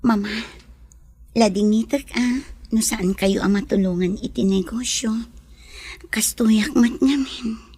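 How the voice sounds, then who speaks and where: Breathy, high-voiced young daughter. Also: female voice, unhurried, pauses often, darkish tone, no echo. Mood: soft, apologetic. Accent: Ilocano. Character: dutiful, apologetic young daughter, soft and sweet. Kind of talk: Ilocano radio drama acting.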